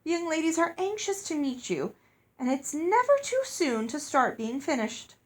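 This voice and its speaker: Storybook finishing-school headmistress played by a woman: gray-haired, proper, effusive, pitched well up. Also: sing-song, normal speed. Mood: gracious. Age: older. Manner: gushing, genteel, formal. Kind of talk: storybook character voice.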